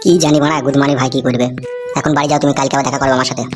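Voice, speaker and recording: Bloke voicing a touchy cartoon village boyfriend, swears, talks really fast. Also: male voice, medium pitch, level tone, music in the background, close to an everyday voice. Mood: wary. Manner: curt, coarse, suspicious. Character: defensive, foul-mouthed village lad caught courting. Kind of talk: comic cartoon dialogue.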